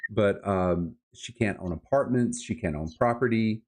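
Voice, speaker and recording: Low-voiced man, enthusiastic book chat. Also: moderate pace. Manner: enthusiastic, emphatic, colloquial. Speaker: podcast host reviewing books. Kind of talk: casual book-review chat.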